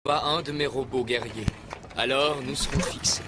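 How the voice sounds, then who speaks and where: An actor voicing a proud, composed, centuries-old master inventor in a dubbed cartoon, speaking formally and with measure. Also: male voice, medium pitch, moderate pace, noisy background, close to an everyday voice. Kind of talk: French-dubbed 1990s cartoon acting. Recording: loud music behind the voice.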